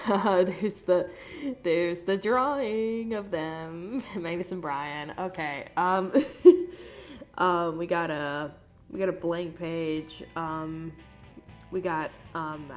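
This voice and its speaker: A young woman with a low voice that sounds stuffed up and nasal, as if she has a cold. She rambles through a casual voice-over, full of fillers and cursing. Faint music plays under her phone-recorded voice. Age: young adult. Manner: rambling, chatty, lots of fillers and swearing. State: congested, nasal. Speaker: art vlogger.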